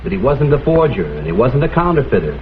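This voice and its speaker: A shrewd, dogged crime photographer played by a male actor who probes politely but will not let go. His voice sits at a middle pitch in the manner of vintage detective drama, on a muffled, narrow old soundtrack with faint music. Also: big pitch swings, fast, close to an everyday voice. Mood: reasoning.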